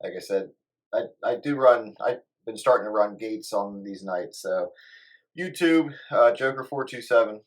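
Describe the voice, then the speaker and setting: A man who works a radio as a hobby, chatting in a casual, low-key and slightly hesitant way. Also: moderate pace, low-quality recording. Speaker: amateur radio operator. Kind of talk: casual sign-off chat.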